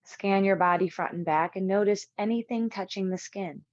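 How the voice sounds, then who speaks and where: A woman instructor leading a guided yoga relaxation, speaking in measured, soothing short phrases with long pauses. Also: mid-range voice, darkish tone, dry recording, muffled recording.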